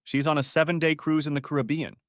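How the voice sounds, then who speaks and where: A man's voice: a little high, fairly even intonation, fast, fairly bright tone, no echo, studio quality, pitched above the performer's own voice. A man voicing a polite, understated, neglected husband in a narrated story. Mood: resigned. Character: quiet, neglected married man on holiday.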